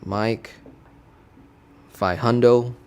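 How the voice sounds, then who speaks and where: A man's voice: medium pitch, fairly even intonation, very slow, slight background noise. A man casually walking viewers through startup costs as a finance educator, playful and chatty, constantly checking in with "right?". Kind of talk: casual teaching walkthrough. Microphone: studio desk mic.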